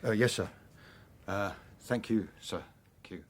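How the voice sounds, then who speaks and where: A man's voice: on the low side, measured pace, a little hiss or hum, lightly acted. Hesitant, deferential English chauffeur played by a man. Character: deferential, nervous household chauffeur. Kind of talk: British radio drama acting.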